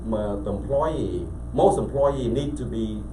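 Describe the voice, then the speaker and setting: A man from Cambodia with a Cambodian accent, a business owner telling his story as a guest in a casual interview, animated and full of restarts. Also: medium pitch, unhurried. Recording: echoey room, mic at a distance, faint background noise.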